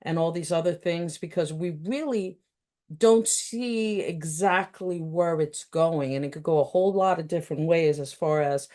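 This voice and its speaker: A woman with a New York accent hosting an interview, talking casually with many fillers and pauses. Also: fairly low pitch, warm tone. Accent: New York City. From New York City. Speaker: interview host.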